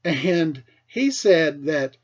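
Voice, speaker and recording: A middle-aged American man, a teacher with a husky voice, tells casual personal stories for children in an animated way, acting things out as he goes. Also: on the high side, drawn-out words, highs cut off. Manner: animated, acts out dialogue and sound effects. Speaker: school teacher telling stories to pupils.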